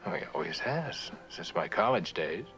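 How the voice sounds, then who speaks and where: An actor playing an anxious, insistent professor in an earnest, pressing manner, in a vintage suspense drama. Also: male voice, deep voice, very animated, normal speed, sharp tone, faint music behind, heavily acted. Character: insistent, anxious professor seeking an old college friend. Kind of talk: mid-20th-century suspense drama acting.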